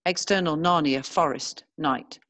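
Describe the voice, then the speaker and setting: Low-pitched woman narrator, dry close sound. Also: a little slow, fairly bright tone, no room sound. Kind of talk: scripted narration of stage directions.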